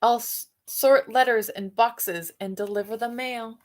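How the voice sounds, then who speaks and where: A woman reading a picture book aloud to children, expressive, with soft musing questions for young listeners. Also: a little high, unhurried. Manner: expressive reading, alternating with softer musing asides and hums. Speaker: read-aloud storyteller for children.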